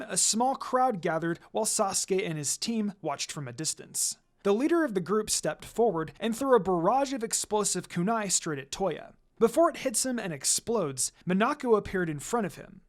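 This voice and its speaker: Animated storyteller with a lively range. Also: medium pitch. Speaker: story reader. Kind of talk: scripted narration, reading fan fiction aloud.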